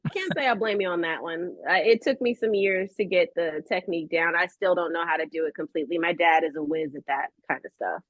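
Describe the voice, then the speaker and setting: A woman chatting casually as an interview guest, telling stories in an animated, playful way and acting out quotes. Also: lowish voice, a little fast, hardly any pauses, dry recording, dull audio.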